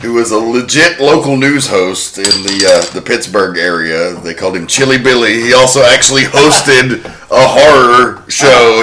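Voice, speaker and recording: A man's voice: fairly low pitch, sharp tone, slight background noise, poor audio. A man co-hosting a podcast, chatting casually, sharing trivia and swearing loosely. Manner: laid-back, swears casually, rambling trivia. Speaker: podcast co-host. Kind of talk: casual chat.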